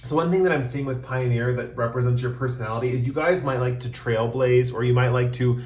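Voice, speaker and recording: A man talks through a tarot reading in a loose, meandering way, full of ums, and slows down to name each card. His voice is wearing thin from losing it. He sits at an ordinary middle pitch in a room with a noticeable echo. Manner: relaxed, rambling, frequent ums, slows to announce cards. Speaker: tarot reader. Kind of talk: casual tarot reading to camera.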